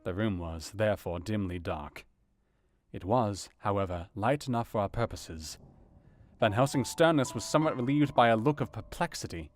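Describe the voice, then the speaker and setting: An actor playing an earnest doctor, narrating a dramatised audiobook in a measured, formal and dramatic way. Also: male voice, lowish voice, soft background music, close to an everyday voice. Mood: grave, measured. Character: earnest male doctor recounting a grim night.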